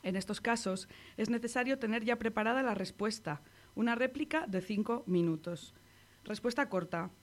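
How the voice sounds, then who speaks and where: A woman from Spain with a Spanish accent, reading her own poem aloud on the radio in a measured, intimate way. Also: a little high. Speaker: poet reading her work. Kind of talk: poetry reading.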